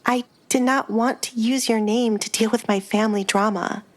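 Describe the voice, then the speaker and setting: A woman voicing a timid, hurt young noblewoman in an audiobook romance, softly pleading. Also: on the high side, steady tone, normal speed, pitched above the performer's own voice, close to an everyday voice. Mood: hesitant, pleading.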